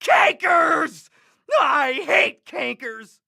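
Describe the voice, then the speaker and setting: A man voicing a scheming, hot-tempered cartoon boy with a brash, bossy, wisecracking delivery and a gravelly edge. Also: very high voice, big pitch swings, very slow, heavily acted. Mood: furious. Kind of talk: cartoon game voice acting. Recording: loud music behind.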